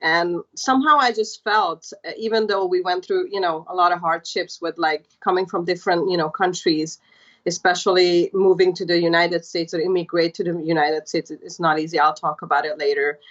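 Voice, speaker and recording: A woman's voice: fairly low pitch, steady tone, fairly quick, a bit bright, highs cut off. A woman in her thirties from Hungary, a podcast guest telling her story in casual, chatty talk with lots of fillers. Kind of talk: casual interview chat.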